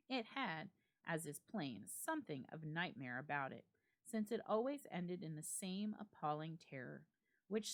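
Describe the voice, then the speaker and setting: A woman giving a dramatic reading of a classic ghost story, as a library staff reader. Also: fairly low pitch.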